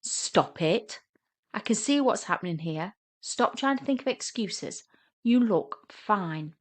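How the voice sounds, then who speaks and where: Woman doing a bratty younger sister in an audio rom-com, sarcastic, teasing, gets excitable and mocking. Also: mid-range voice, slow pace, voice pushed up. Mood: exasperated.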